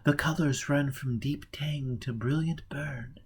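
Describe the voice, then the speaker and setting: A man reading a story aloud, voicing an aloof highborn lady in high, breathy whispers that turn dreamy and disdainful. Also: fairly even intonation, measured pace. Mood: dreamy, mocking. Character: aloof highborn blind lady, rapt and mocking.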